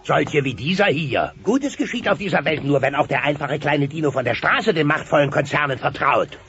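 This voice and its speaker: An actor playing a scheming, greedy dinosaur company boss in a children's audio drama, theatrical and condescending. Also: male voice, a little high, animated, normal speed, a bit bright, a little hiss or hum. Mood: falsely sympathetic. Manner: theatrical, mock-sympathetic, condescending. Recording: dull lo-fi cassette transfer.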